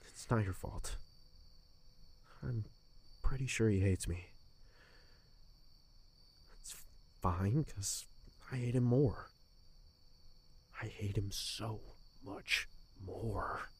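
An actor playing a heartbroken, bitter young servant in an audio drama, moving between hushed hurt and emotional outburst. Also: male voice, on the low side, very slow, long pauses, husky, full character voice.

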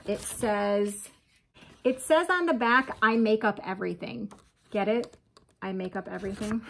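Slow-talking woman with a gravelly voice. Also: medium pitch, a little hiss or hum. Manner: chatty, often addresses "you guys", upbeat. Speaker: crochet vlogger. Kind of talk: casual show-and-tell chat. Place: home kitchen, indoors.

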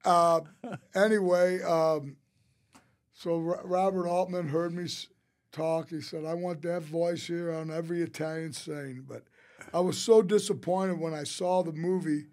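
A man tells casual, rambling anecdotes as a podcast guest, quoting people in an animated way. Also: a little high, unhurried. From St. Louis, United States. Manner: rambling, animated storyteller who quotes others. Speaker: interview guest, restaurateur. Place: small podcast studio. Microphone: close studio mic on a boom arm.

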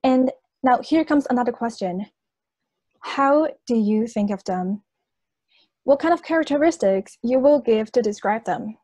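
A woman's voice: fairly high pitch, expressive, unhurried, mellow, dry recording. A young woman from China, a native Chinese speaker, delivering a persuasive competition speech in an earnest, audience-addressing manner. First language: Chinese (Hainanese and Mandarin). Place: indoors on a video call.

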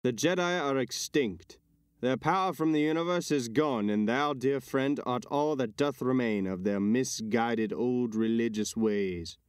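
A man's voice: medium pitch, measured pace, clear, resonant tone, dry recording, studio quality, natural voice. A man playing a haughty, skeptical imperial commander in a Shakespearean dramatic reading. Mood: dismissive.

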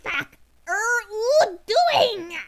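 A woman voicing a shrill, angry teenage gothic girl with drawn-out screechy shouting in a comic fan fiction reading. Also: very animated, very noisy, exaggerated voice. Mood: outraged.

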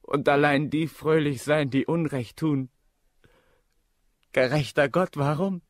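An actor playing a wronged, trembling servant in a German radio drama, brooding, bitter and anguished. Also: male voice, a little high, fairly even intonation, slow, no echo, clean studio sound.

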